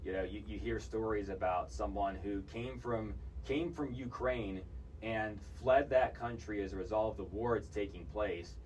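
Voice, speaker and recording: A man's voice: on the low side, steady tone, normal speed, a little mellow, distant mic, slight background noise, rough recording. Rambling young man on college radio. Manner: rambling, many restarts and fillers. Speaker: podcast host. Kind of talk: casual college radio chat. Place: college campus radio studio.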